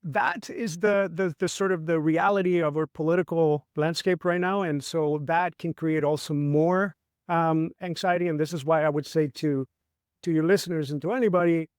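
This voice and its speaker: A man's voice: a little high, fairly even intonation, moderate pace, smooth, dry recording, clean studio sound. A middle-aged man from Chile, a martial artist and trainer, explaining ideas in a reflective way and often asking "right?". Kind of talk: conversational interview.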